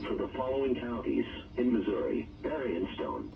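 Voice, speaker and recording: A man's voice: medium pitch, fairly quick, creaky, noisy background. A synthetic man's voice reading a formal scripted emergency weather bulletin as a radio announcer. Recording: muffled weather radio broadcast, distant, music behind.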